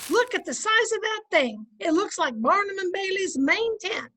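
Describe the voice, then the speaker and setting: A woman plays a folksy radio-comedy housewife with an extremely high voice. She needles gently and drily, as a patient wife does. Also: sing-song, normal speed, exaggerated voice. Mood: teasing. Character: dry-witted, teasing, patient housewife and wife. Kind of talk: old-time radio comedy acting. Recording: home video-call recording.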